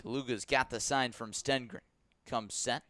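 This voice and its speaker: A man does live baseball play-by-play for radio from a stadium press box. His voice sits in a middle register for a male speaker. He narrates in a measured, descriptive way, raising his delivery as each pitch comes in. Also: fairly even intonation, sharp tone, no echo. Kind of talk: live radio sports commentary.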